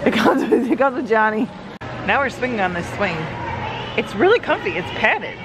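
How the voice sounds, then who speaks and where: A woman's voice: a little high, very animated, normal speed, crowd noise. A woman, chatting casually to viewers as a shopping vlogger, thinking aloud as the items come up. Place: large indoor warehouse store. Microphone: handheld vlog camera close to speaker. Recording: noisy big warehouse store ambience.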